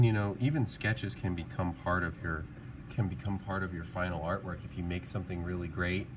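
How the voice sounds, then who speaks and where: A man giving a casual drawing tutorial, thinking aloud in an informal, encouraging, musing way as an art teacher. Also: deep voice. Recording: clearly audible background noise.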